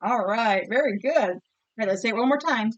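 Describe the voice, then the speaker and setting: A woman's voice: on the high side, brisk, dull audio, a little hiss or hum. A woman teacher leading a read-aloud vocabulary lesson with children, encouraging and questioning, enunciating words for pupils to repeat.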